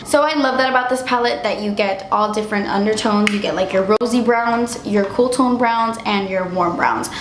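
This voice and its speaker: A woman's voice: mid-range voice, moderate pace, hardly any pauses, roomy sound, background noise. A young woman reviewing makeup in a chatty, gushing way, losing her voice as she gets sick. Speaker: beauty vlogger. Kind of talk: casual product review.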